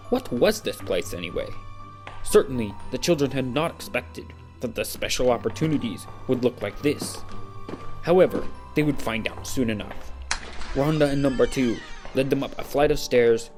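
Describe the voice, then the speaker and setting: A man's voice: mid-range voice, darkish tone, lightly acted. A man playing the narrator of a children's mystery audio drama, telling it in scripted storybook style.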